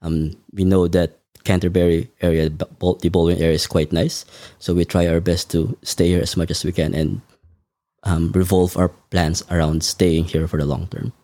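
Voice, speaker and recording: A man answers questions in a measured, thoughtful way, pausing often to gather his words. His voice is very deep and mellow. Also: flat delivery, no room sound, studio quality. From Philippines. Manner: measured, thoughtful, frequent ums. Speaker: podcast guest. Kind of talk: conversational interview.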